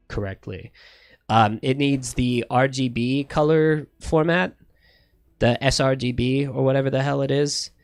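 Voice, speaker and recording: A man's voice: mid-range voice, dry recording, studio quality. A man with a slight lisp, chatting casually to his stream viewers in a rambling, profane, self-correcting way. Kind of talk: casual livestream chat.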